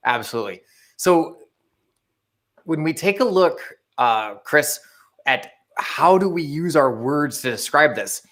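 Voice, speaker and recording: A man's voice: on the high side, lively intonation, unhurried, a bit bright. A young man co-hosting a live motivational stream, talking casually and eagerly reading viewers' chat messages aloud. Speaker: co-host of live motivational show.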